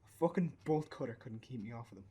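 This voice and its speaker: An actor with an Irish accent playing a grieving, bitter young father in a naturalistic radio play, halting and sweary. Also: mid-range voice, lively intonation, mellow, husky. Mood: bitter anger. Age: young adult.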